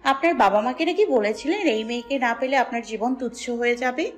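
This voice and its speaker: Quick-witted young woman, mocking, fairly high voice, woman voice actor. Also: natural voice. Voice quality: mocking, lively. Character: spirited young unmarried daughter, quick-witted. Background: soft music.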